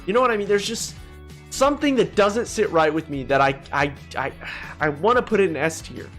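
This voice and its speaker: A man's voice: high-pitched, expressive, brisk, a bit bright, soft background music. A young man doing casual, opinionated gaming commentary, talking in profane slang and exclaiming as he ranks things. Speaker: gaming video host. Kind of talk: casual tier-list commentary.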